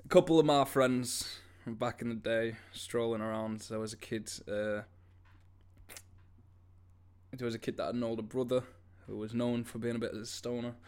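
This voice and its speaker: A man's voice: mid-range voice, fairly even intonation, brisk, few pauses, a little mellow, clear, resonant tone. A man, co-hosting a podcast in casual, slangy, sweary talk. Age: 20s. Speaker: podcast co-host. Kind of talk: casual chat and storytelling.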